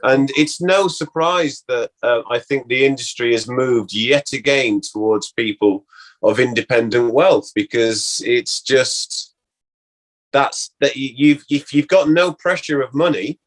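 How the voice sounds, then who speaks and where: A man's voice: mid-range voice, a little slow, poor audio. A man talking candidly and wryly in a casual, reminiscing conversation as an interview guest. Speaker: interview guest, former actor.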